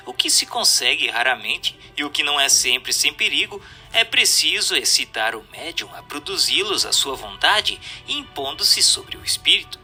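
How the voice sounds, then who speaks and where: Very bright, animated Brazilian male narrator. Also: fairly high pitch. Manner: formal, didactic, emphatic. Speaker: narrator reading a book. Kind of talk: scripted narration of a doctrinal text.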